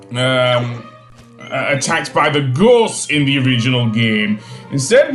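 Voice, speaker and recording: A man with a fairly high voice gives off-the-cuff game commentary. He rambles and halts as he goes. The room is echoey, with faint music behind him. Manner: halting, rambling. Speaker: video game reviewer. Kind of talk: casual gameplay commentary.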